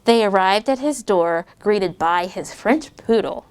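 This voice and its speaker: A middle-aged woman reads a rhyming picture book aloud to schoolchildren, lively and expressive, and stops now and then to chat with the kids. Her pitch sits in the middle of the usual range for a woman. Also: a little slow, smooth. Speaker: guest reader and parent. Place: school classroom with children.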